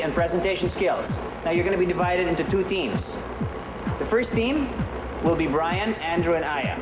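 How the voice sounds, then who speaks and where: A man hosting a reality TV show, reading crisp scripted announcements. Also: high voice, roomy sound, poor audio. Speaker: host.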